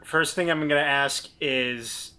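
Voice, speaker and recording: A man's voice: mid-range voice, steady tone, unhurried, sharp tone, clear, resonant tone. A man playing a tabletop role-playing game, talking casually and hesitantly as he thinks aloud.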